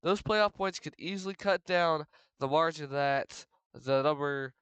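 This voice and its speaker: Young man, congested from a cold, race preview commentary, somewhat high voice, very smooth and clear, dry close sound. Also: unhurried, fairly bright tone, no room sound. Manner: rambling, filler-heavy, frequent restarts. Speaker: race commentator for a sim racing league.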